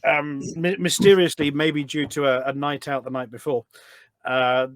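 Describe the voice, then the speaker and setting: A man's voice: fairly high pitch, little pitch movement, normal speed, no room sound. A British man telling anecdotes in a casual, enthusiastic, rambling way as a podcast guest. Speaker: podcast guest, voice pack developer. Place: small studio.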